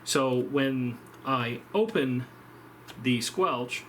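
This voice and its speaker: A man giving an informal, unscripted technical explanation as a demonstrator, halting as he thinks aloud. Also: medium pitch, fairly bright tone, a little hiss or hum. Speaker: presenter of an electronics demonstration.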